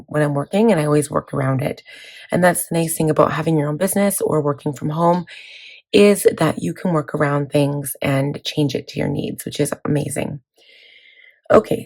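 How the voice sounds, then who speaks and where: A woman in her thirties, hoarse from laryngitis, chatting casually about her planner with many fillers. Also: low voice, darkish tone. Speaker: planning vlogger. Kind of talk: casual chat walking through a planner.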